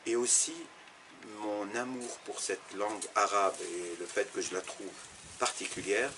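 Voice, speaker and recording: A man's voice: fairly low pitch, fairly even intonation, measured pace, noisy background. A man from Algeria, an Arabic teacher, answering interview questions in a thoughtful, explanatory way, with fillers and restarts.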